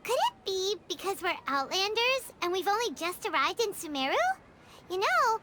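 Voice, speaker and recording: A woman playing a tiny, childlike, excitable fairy companion in a video game, with a squeaky voice and exclamatory manner. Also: moderate pace, heavy background noise. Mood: puzzled, pondering. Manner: exclamatory, refers to self in third person. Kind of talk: video game character acting. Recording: music behind the voice.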